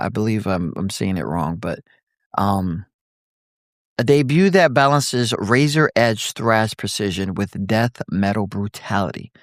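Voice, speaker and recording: A man hosts a radio interview show in a loose, joking manner. He trips over words and restarts his lines. His voice is mid-pitched and sounds dry and close. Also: no echo. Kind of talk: casual interview hosting.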